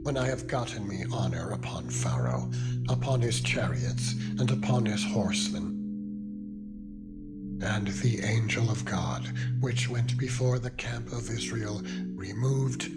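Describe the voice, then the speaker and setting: A man reads King James scripture as a dramatized narration, in a solemn, measured manner with deliberate pauses. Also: lowish voice. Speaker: Bible reader, narrator. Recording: loud music under the voice. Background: loud music.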